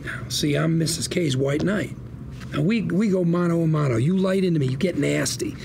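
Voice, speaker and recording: A slick male con-artist lawyer cajoles with colourful, slangy patter. His voice sits fairly high for a man and has a lot of fry in it. Noticeable noise runs behind the drama dialogue. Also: normal speed, darkish tone. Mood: scheming, emphatic. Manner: glib, persuasive patter with colourful slangy quips. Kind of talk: screen drama script read at a table read.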